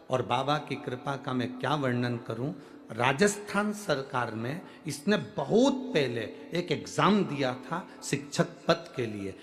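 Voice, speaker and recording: An Indian man from Madhya Pradesh giving a devotional sermon, reading a listener's letter aloud, emphatic and alternating loud declarations with hushed lines. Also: a little high. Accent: Madhya Pradesh Hindi. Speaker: katha preacher. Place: large outdoor religious gathering.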